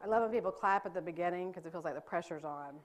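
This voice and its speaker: A woman's voice: lowish voice, a faint crowd, a little hiss or hum. A middle-aged woman from North Carolina with a Southern accent, giving a chatty, joking training talk to a live audience in a meeting room. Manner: fast-talking, chatty, self-deprecating jokes. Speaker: trauma care trainer.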